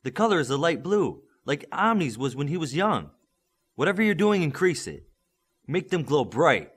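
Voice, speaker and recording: A man's voice: fairly high pitch, big pitch swings, normal speed, a bit bright, slightly rough, pitched above the performer's own voice, exaggerated voice. A man voicing a firm, encouraging male superhero coach and mentor in an audiobook. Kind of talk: audiobook character acting.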